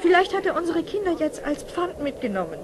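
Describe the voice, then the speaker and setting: A woman's voice: extremely high pitch, very animated, a little fast. An actress playing an anxious, earnest townswoman and mother in a fairy-tale radio play. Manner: pleading, emphatic. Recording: noisy old audio-play recording.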